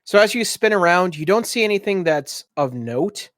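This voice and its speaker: A man's voice: sits high, animated, moderate pace, fairly bright tone, no echo, clean studio sound. A man hosting as game master, narrating a tabletop roleplaying game in descriptive, scene-setting detail. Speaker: game master, podcast host.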